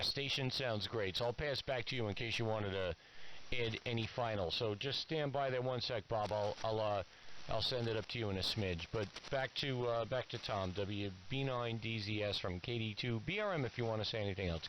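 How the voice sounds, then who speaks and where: A man's voice: on the low side, a little fast, fairly bright tone, poor audio. A man from New York talking casually as an amateur radio operator over shortwave, chatty and rambling. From New York, USA. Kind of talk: casual ham radio exchange. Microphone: transmitted over AM shortwave radio. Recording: hissy AM shortwave radio transmission.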